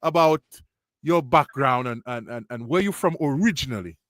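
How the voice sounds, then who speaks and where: A man's voice: medium pitch, lively intonation, normal speed, a bit bright, no room sound, studio quality. A man from Lucia, hosting an interview in an upbeat, chatty way and repeating his phrases. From Saint Lucia. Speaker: show host. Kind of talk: casual interview chat.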